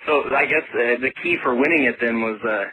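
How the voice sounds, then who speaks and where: A man's voice: medium pitch, a little fast, sharp tone, slightly rough. A man giving a casual, plain-spoken, folksy phone interview as a contestant being asked about his experience. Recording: muffled phone line with background noise.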